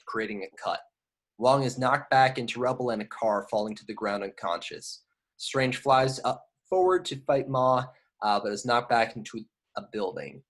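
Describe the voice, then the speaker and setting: Male narrator, middle register, reading screenplay action lines for an amateur group table read. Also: medium pitch. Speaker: narrator reading stage directions.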